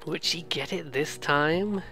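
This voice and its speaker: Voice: mid-range voice, takes it slowly, music in the background. A let's play host commenting casually on a game, rambling and joking at their own expense while reading bits aloud. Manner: rambling, jokey, self-deprecating.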